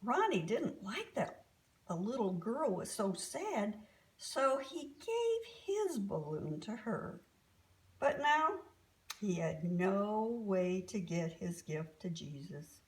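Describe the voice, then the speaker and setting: A woman reading a children's story aloud as an expressive storyteller. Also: mid-range voice, slow pace.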